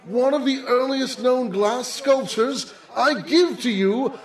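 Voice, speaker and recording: A man plays a pompous museum chairman addressing a gala in a radio play. His high voice moves slowly and grandly, echoing from some distance off the mic. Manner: grandiose, ceremonial speechifying. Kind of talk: old-time radio drama acting.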